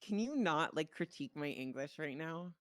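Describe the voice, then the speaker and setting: A man's voice: a little high, expressive, moderate pace, fairly bright tone, no room sound. A man from the Czech Republic, a native Czech speaker, bantering informally and animatedly in game roleplay, swearing freely. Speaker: streamer playing a dispatcher in online roleplay.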